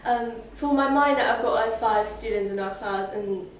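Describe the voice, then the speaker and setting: A woman's voice: a little high, moderate pace. Teenage girl from Hong Kong, hesitant. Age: teens. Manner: hesitant, many "like" fillers. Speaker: summer school student. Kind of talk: interview answers. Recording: echoey room, distant mic, faint noise.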